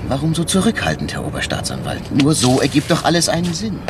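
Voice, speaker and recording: A man's voice: fairly high pitch, wildly swooping pitch, quick pace, background noise. A male actor playing a shrewd, persistent police inspector in a radio crime drama, insinuating and mock-deferential as he argues step by step. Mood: pressing, reasoning. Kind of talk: naturalistic German radio crime drama acting.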